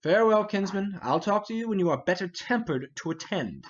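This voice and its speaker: A man playing a measured, scheming older noble uncle in a formal, deliberate Shakespeare reading. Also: sits high, lively intonation, dull audio, voice pushed up. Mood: exasperated. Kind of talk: dramatic reading of a Shakespeare play.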